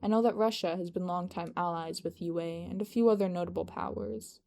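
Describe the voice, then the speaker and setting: A woman's voice: fairly low pitch, fairly even intonation, a little fast, vocal fry, mellow. Woman voicing a practical, patient male aide. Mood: matter-of-fact.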